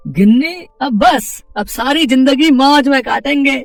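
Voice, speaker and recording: A man's voice: extremely high pitch, measured pace, creaky, distant mic, soft background music, heavily acted. A man voicing a poor, simple village husband, an eager and stubborn dreamer who stammers and complains, in a moral story. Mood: excited, content.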